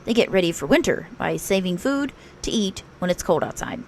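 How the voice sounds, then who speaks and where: A woman's voice: fairly low pitch, expressive, a little fast, darkish tone, a little hiss or hum. A woman voicing a practical, slightly bossy but friendly male rabbit in a children's story, with emphatic, instructive delivery. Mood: businesslike.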